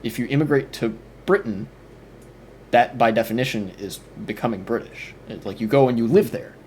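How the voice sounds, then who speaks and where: A man's voice: medium pitch, normal speed, a little mellow, a little hiss or hum. A man commenting casually on a debate clip as a streamer, rambling with many fillers and restarts.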